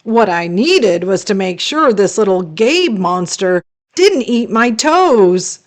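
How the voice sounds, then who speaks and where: A woman narrating a children's story as a read-aloud host, expressive and deliberate. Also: medium pitch.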